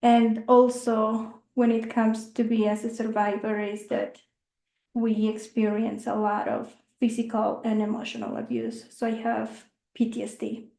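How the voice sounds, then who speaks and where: Woman, young, earnest, halting, high voice, echoey room. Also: level tone, gravelly, mellow. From Mexico. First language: not English. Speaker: speaker. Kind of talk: informational talk. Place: meeting room.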